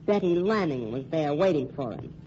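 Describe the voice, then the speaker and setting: An actress playing a tough, guarded nightclub singer, with an American accent and curt, slangy, defiant speech in old-time radio drama style. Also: female voice, sits low, animated, normal speed, mellow. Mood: grudgingly confiding. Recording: dull, noisy old radio recording.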